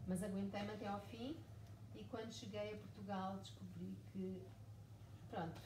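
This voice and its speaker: A woman's voice: mid-range voice, fairly even intonation, normal speed. A woman in her forties from Mozambique, a writer speaking at a live book event, telling her story emphatically with lots of repetition. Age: 40s. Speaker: author guest. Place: bookshop event room. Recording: echoey room, far mic, noisy.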